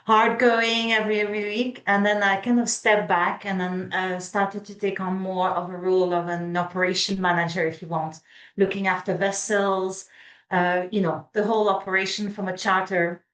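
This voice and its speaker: A woman's voice: mid-range voice, level tone, moderate pace, a bit bright. Brief: Swiss woman, 40s, interview guest, hesitant delivery, echoey room. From Switzerland. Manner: hesitant, lots of filler sounds. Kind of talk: casual interview.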